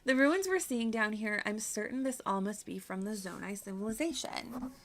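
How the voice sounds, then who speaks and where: A woman's voice: fairly high pitch, lively intonation, moderate pace. A woman reading video game dialogue aloud as an earnest, studious young princess, in a formal manner. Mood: curious.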